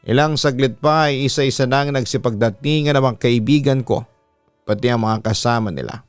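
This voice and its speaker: A man with a Filipino accent narrating a scripted first-person story in a measured, dramatic radio style, drawing out the ends of phrases. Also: medium pitch, clean tone, dry recording. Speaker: radio announcer hosting a horror story show, reading listeners' letters. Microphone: close studio microphone. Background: soft background music.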